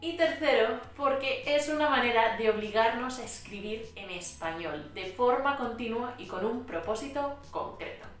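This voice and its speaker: A woman with a Spain Spanish accent, a Spanish teacher explaining writing practice in a clear, patient way. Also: a little high, normal speed, hardly any pauses, faint music behind. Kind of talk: educational vlog narration. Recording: echoey room, mic at a distance.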